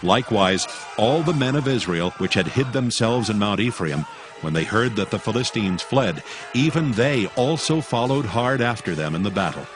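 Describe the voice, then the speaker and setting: A man narrates scripture in a solemn, dramatic storytelling style. His voice is low and measured. Music is clearly heard beneath the reading. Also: lively intonation.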